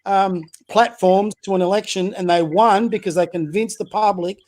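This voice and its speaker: A man with a high-pitched voice, a community basketball coach giving blunt, opinionated views as a podcast guest. He fires off rhetorical questions and often stumbles and restarts. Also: steady tone, moderate pace, darkish tone, a little hiss or hum. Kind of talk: casual political chat.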